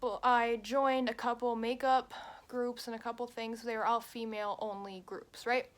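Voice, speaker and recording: A woman's voice: fairly high pitch, little pitch movement. A woman in her twenties telling a story in a casual, chatty vlog, with lots of fillers. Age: 20s. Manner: chatty, quick, many fillers. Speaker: vlogger. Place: at home.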